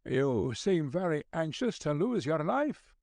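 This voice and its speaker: A man's voice: fairly high pitch, big pitch swings, unhurried, darkish tone, dry recording. A man voicing a crabbed but kindly old Scottish news editor, fussy and avuncular, in an audiobook. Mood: doubtful, fussy. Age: older. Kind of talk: audiobook character dialogue.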